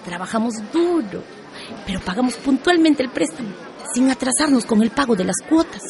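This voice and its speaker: A middle-aged Peruvian mother in a radio soap. She is a proud, industrious shopkeeper, affectionate yet brisk. Her voice sits a little high. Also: animated, creaky, darkish tone, faint music behind, some chatter behind. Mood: proud, happy. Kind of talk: educational radio soap acting.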